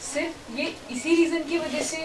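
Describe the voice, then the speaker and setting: A woman explaining her thoughts spontaneously in short, halting phrases during a group discussion. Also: high-pitched, distant mic. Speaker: workshop student. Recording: off mic, noisy ambience.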